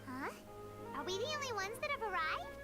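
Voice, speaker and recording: A woman voicing a small, childlike, cheerful female companion creature in an anime video game, with a squeaky, perky delivery. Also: very animated, fairly quick. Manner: perky, speaks of herself by name. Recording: loud game music underneath.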